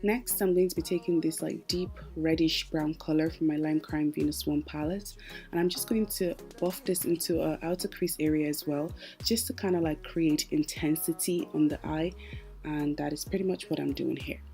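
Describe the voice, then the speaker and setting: A woman's voice: deep voice, steady tone, normal speed, gravelly, warm tone, soft background music. A young woman narrating a makeup tutorial, chatty and explaining each step as she works. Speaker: makeup artist.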